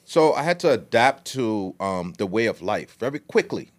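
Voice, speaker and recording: A man's voice: on the low side, big pitch swings, moderate pace. A middle-aged man from Liberia, telling his story as a podcast guest in a casual, long-winded way with street slang. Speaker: guest, food entrepreneur.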